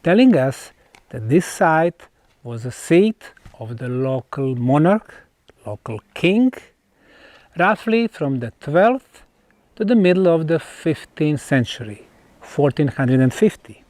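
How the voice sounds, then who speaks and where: A man with a Bosnian accent presenting an on-site documentary in deliberate, emphatic phrases broken by pauses. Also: a little high, very animated, darkish tone, dry recording. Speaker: presenter, researcher. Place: outdoors at stone ruins.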